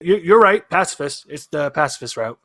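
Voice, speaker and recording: A man's voice: fairly high pitch, animated, fairly quick, pushed and bright, dry recording. A man chatting casually and encouragingly as the game master of a tabletop game.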